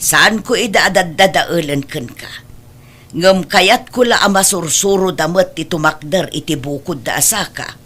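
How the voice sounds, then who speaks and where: A woman playing a caring but firm mother of grown children in an Ilocano family radio drama, speaking earnestly and gently admonishing. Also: lowish voice, unhurried, slightly rough. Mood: gently explaining.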